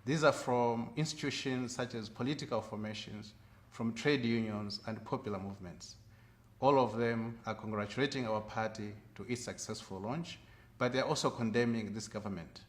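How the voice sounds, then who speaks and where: A man delivering a prepared party statement in a measured, formal way. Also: medium pitch. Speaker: party spokesman.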